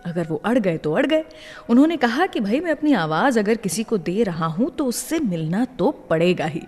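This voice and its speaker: A woman's voice: mid-range voice, a little mellow, long reverb tail. A woman hosting a radio show, telling scripted stories conversationally and putting questions to listeners. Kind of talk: scripted radio storytelling between songs. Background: music.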